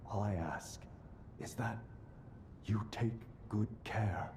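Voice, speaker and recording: Deep, mellow, slow fatherly spirit. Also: slight background noise. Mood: solemn tenderness. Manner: gentle, solemn, measured. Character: tender, dignified dead desert warrior father's spirit. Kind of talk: dramatic video game acting. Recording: faint game sound behind voice.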